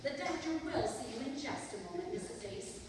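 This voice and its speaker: A woman's voice: high-pitched, expressive, brisk. A woman playing a chirpy, coaxing receptionist nurse in old-time radio comedy style. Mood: chatty. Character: chirpy, soothing female receptionist nurse, gossipy. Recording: echoey hall from far off, noisy.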